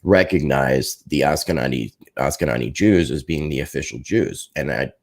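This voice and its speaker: A man's voice: rumbling low, expressive, moderate pace, darkish tone. A man talking casually on a live talk show, rambling in a tentative, hedging way. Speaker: podcast host.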